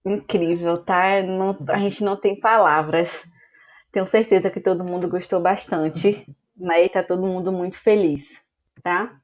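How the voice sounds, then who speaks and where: A woman's voice: fairly low pitch, steady tone, unhurried. A woman hosting an online seminar, effusive and thankful, with plenty of fillers. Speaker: seminar host. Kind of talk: closing remarks in an online seminar. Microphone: video call. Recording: lo-fi video call audio.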